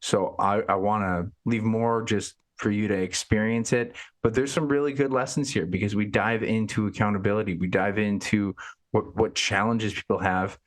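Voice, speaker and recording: A man's voice: lowish voice, fairly even intonation, moderate pace. A man hosting a fitness podcast, upbeat and chatty, often repeating words as he thinks. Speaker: podcast host. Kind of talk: casual interview.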